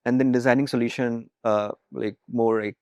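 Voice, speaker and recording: A man's voice: medium pitch, steady tone, normal speed, darkish tone, no echo, studio quality. A man with an Indian accent, a startup founder talking conversationally in an interview.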